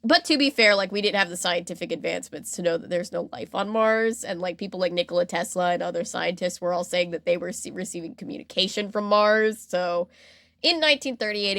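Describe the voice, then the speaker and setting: A woman's voice: lowish voice, vocal fry, no room sound, clean studio sound. An American woman hosting a podcast, chatting casually as she explains things and acts out mock quotes along the way. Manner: animated retelling with mock quotes and filler "like". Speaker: podcast host. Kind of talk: casual explanatory storytelling chat.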